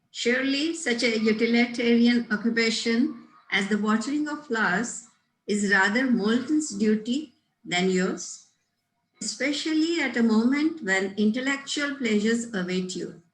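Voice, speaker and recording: A woman's voice: on the high side, a little slow, close to an everyday voice. A woman playing a prim, pompous older governess in a table read of a Victorian comedy, formal, didactic and scolding. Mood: stern, instructive. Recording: roomy, echoey home recording.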